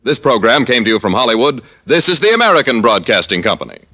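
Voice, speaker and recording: Bright-voiced 1950s radio announcer man. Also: mid-range voice, very animated, fast talker. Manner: bright, emphatic selling delivery.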